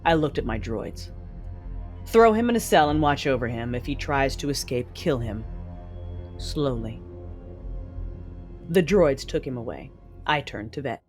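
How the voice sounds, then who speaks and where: Man acting a brooding, vengeful dark warlord. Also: mid-range voice, expressive, a little slow, long pauses, soft background music, natural voice. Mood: cold menace. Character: brooding, vengeful male dark-side warlord, coldly cruel. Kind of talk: first-person audio drama narration and dialogue.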